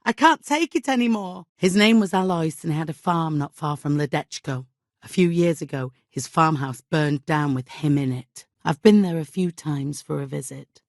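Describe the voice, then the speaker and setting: Low-voiced, haunted medieval widow. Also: female voice, moderate pace, no echo, studio quality. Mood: weary, troubled. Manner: exasperated, imperious, plaintive. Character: haunted, guilt-ridden medieval village widow, short-tempered and devout. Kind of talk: dramatic video game dialogue acting.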